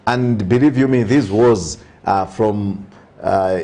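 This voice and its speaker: A man's voice: fairly low pitch, slow pace, mellow. A man with a Zambian accent, a priest speaking as an interview guest, giving earnest social commentary with emphatic repetition and touches of preaching.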